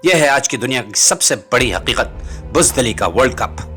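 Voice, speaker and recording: A man's voice: medium pitch, animated, moderate pace, pushed and bright. A man reading scripted political commentary as a host, in a rhetorical, bitingly sarcastic manner. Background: music.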